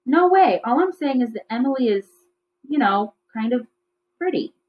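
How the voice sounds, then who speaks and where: A woman's voice: sits high, lively intonation, moderate pace, voice pushed up. A woman voicing a teasing, casual schoolboy in a storybook. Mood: sheepish. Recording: slightly dull, lo-fi recording.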